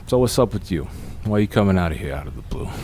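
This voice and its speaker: A man playing a wary, gruff retired crook who is suspicious of an old partner, in an audio drama. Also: low-pitched, lively intonation, very fast, a little mellow, slight background noise. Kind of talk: amateur audio drama acting.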